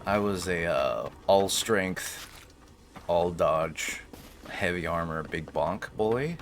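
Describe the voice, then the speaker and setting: Deep-voiced man giving wry gaming commentary. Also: lively intonation, slow pace. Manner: wry, playful banter with game characters. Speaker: gaming video host. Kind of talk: casual joking let's play commentary, reading game text aloud.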